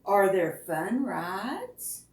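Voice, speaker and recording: A woman librarian reading picture books aloud to preschoolers in an expressive way, often putting questions to her young listeners. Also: medium pitch, drawn-out words. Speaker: children's librarian reading at storytime. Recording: roomy echo, distant microphone.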